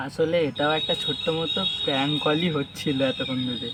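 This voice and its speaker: A man's voice: on the high side, steady tone, a little fast. A young man chatting casually on a phone call, teasing, coaxing and pressing with questions. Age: young adult. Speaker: vlogger making a prank phone call. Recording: noisy with birds squawking behind. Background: parrot squawks and ambient noise.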